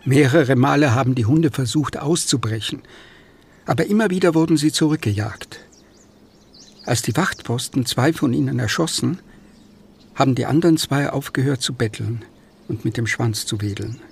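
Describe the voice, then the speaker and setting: An actor in a German radio play playing a gentle, sorrowful man in a subdued, matter-of-fact way. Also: mid-range voice, normal speed, creaky, husky. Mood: quiet sorrow. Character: sorrowful, gentle man who tends stray animals.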